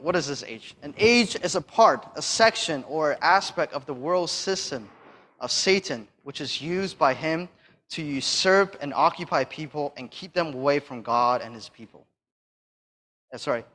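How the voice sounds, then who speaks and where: A soft-spoken man giving a sermon and reading Bible verses, hesitant with fillers and restarts, pressing hard on key phrases. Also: on the high side. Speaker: church speaker.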